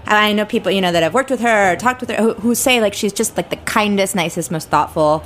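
A woman's voice: medium pitch, quick pace. A woman chatting casually and emphatically as a guest on a talk panel. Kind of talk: casual chat. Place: studio. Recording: clear background ambient noise.

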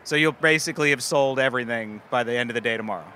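A man's voice: medium pitch, rapid-fire, sharp tone, a little hiss or hum. A man hosting a podcast who asks inquisitive interview questions outdoors, with frequent filler words. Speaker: podcast host. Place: outdoors at a food festival.

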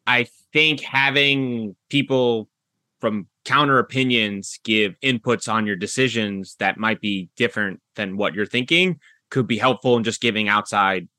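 A man's voice: on the low side, fairly even intonation, unhurried, pushed and bright, no room sound. A man hosting a podcast interview in a conversational style, putting questions to guests and commenting. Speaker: podcast host.

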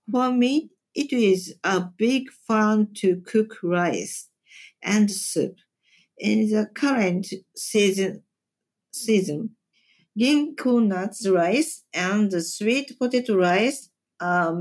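A woman with a Japanese accent, a temple chef answering interview questions in slow, careful English. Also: medium pitch, darkish tone. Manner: slow, deliberate, measured phrasing. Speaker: temple chef and cooking teacher.

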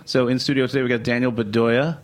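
A man's voice: mid-range voice, steady tone, fast. A man co-hosting a podcast, chatting casually and asking curious follow-up questions. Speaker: podcast co-host. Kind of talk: casual interview chat.

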